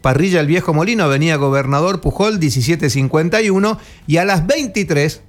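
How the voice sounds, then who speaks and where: Argentine male radio host, slightly high voice, brisk, jokey listings delivery. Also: animated. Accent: Argentine Spanish. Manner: brisk listings read-out with jokes. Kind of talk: casual radio chat.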